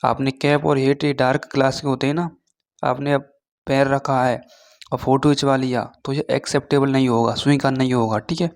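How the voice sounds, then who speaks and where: A man giving an informal explainer lesson as an online teacher, reading through points haltingly and often checking in with short tag words. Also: medium pitch, little pitch movement. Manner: switches between Hindi and halting read-out English.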